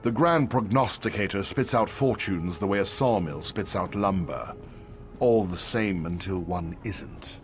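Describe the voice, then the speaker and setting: Man playing a deep-voiced, weary old man. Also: a little slow, soft background music. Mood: weary, wistful. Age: older. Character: weary, kindly old man, wistful storyteller. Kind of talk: AI-voiced fantasy game dialogue.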